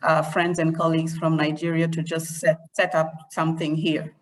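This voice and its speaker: A woman's voice: deep voice, monotone, moderate pace. A woman who works in communications, speaking as a webinar guest and giving friendly, practical advice. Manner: friendly, advising, light laughs. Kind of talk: webinar panel answer. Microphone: video call.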